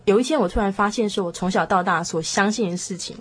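A woman's voice: mid-range voice, fast talker, husky. A woman with a Taiwanese Mandarin accent sharing thoughts in a casual, tentative, reflective way as a panelist. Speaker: discussion panelist.

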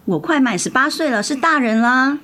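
A woman's voice: high-pitched, animated, normal speed, sharp tone. A woman acting a caring but sassy teenage elder sister in a radio drama, youthful, pert and protective. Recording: music under the voice.

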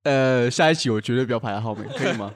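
Mid-pitched man improvising stories. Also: rapid-fire, crowd noise. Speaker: podcast storyteller. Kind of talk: casual improvised storytelling.

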